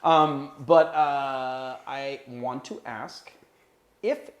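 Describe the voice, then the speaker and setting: Loud, resonant man chatting on a livestream. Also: mid-range voice, steady tone, very slow. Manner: chatty, rambling, answers viewer questions. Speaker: cocktail channel host. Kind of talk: livestream casual chat.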